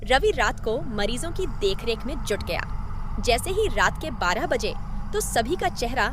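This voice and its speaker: A woman's voice: a little high, big pitch swings, measured pace, background noise. A woman reading a scripted horror story as its narrator.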